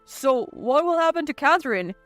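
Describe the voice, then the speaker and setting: Woman acting bewildered husband, high-pitched. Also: expressive, normal speed, dry recording, soft background music. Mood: shocked, protesting. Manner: exclaiming, questioning. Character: bewildered, indignant husband in a family revenge story.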